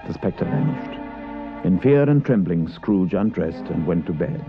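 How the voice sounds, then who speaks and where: Deep-ish guy narrating a dramatic tale, muffled old radio recording, music under him. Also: wildly swooping pitch, a little slow.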